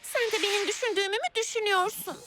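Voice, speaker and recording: A woman's voice: very high voice, rapid-fire, loud music behind the voice. A woman voicing a cheerful, adventurous eight-year-old girl in a cartoon dub, childlike and encouraging. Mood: upbeat, caring. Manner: upbeat, encouraging.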